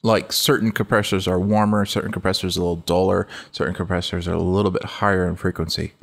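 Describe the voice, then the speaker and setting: A middle-aged man with a low voice hosting a casual music-production walkthrough, relaxed and rambling, often correcting himself mid-sentence. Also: quick pace, creaky. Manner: relaxed, rambling, self-correcting, laughs easily. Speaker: music production tutorial host. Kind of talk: casual screen-recorded walkthrough.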